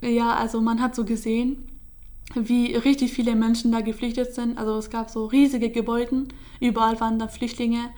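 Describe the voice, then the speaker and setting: Young woman, interviewee, halting and even-toned. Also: on the high side. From Syria. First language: Kurdish. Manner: hesitant, many fillers and restarts. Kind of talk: casual personal account.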